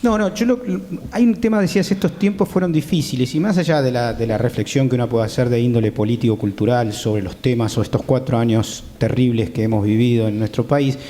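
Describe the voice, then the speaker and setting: A mellow-voiced man with a Rioplatense Argentine accent hosts a panel in a reverberant hall. He builds long, probing questions and keeps checking in with short tag questions. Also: medium pitch, a little fast, few pauses. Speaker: host and moderator. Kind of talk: discussion moderating. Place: theatre, speaking on stage.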